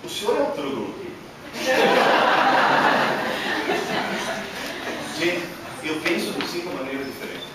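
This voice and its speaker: A man giving a workshop lecture to an audience, animated and emphatic, with many rhetorical questions, speaking Portuguese as a learned language. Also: fairly high pitch, slow, pauses often. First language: not Portuguese. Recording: echoey hall from far off, noisy.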